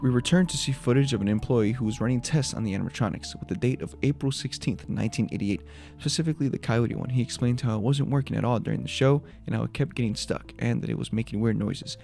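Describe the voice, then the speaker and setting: A man's voice: mid-range voice, fast talker, creaky, darkish tone, soft background music. A man giving a scripted breakdown of a horror video as its creator, in voice-over narration.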